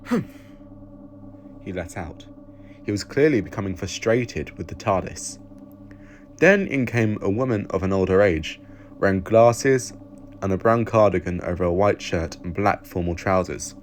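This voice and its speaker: A man narrates an audio story from a script. His voice sits on the low side. Clear background noise is audible behind him. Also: normal speed. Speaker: audiobook reader.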